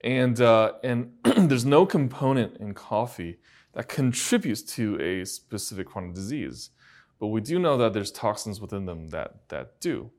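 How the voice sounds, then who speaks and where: Low-voiced man from China, halting interviewer. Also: expressive, darkish tone. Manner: halting, repeats words, curious. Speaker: physician host. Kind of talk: casual interview.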